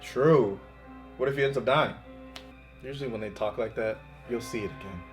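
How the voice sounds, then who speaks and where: A man's voice: mid-range voice, normal speed, faint music behind. A man hosting a reaction show, chatting casually as he gives his thoughts.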